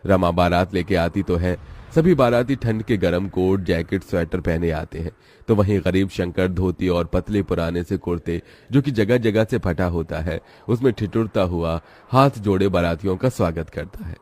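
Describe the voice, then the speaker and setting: A man's voice: deep voice, normal speed, a little mellow. A man narrating a scripted story as its storyteller.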